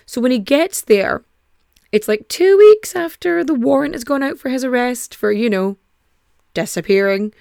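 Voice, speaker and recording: A woman's voice: fairly high pitch, moderate pace, vocal fry, smooth, dry recording, studio quality. A young woman casually telling a true crime story, swearing freely and acting out the people she quotes. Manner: animated, sweary, acts out quotes in mock voices.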